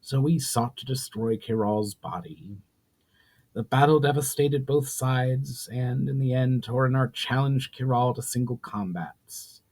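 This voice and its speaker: An ancient sorceress of a fantasy storybook, played by a man, speaks with solemn, pained weariness. The delivery is slow and grave, as if weighed down by long grief. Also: mid-range voice, a little mellow, natural voice. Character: ancient grieving burning sorceress, solemn and weary.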